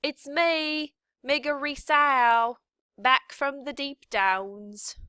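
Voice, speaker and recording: Lady putting on a dopey kitchen maid, slow drawl, kinda high, bright tone. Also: lively intonation, no echo, clean studio sound, full character voice. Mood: loud. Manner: slow, drawling, coarse speech. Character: slow dim-witted young serving girl.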